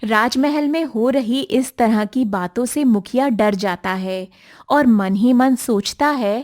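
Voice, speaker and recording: A woman tells a scripted tale as its narrator. Her voice is on the high side. She takes her time over the phrases in a storybook style. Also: slow, a little mellow.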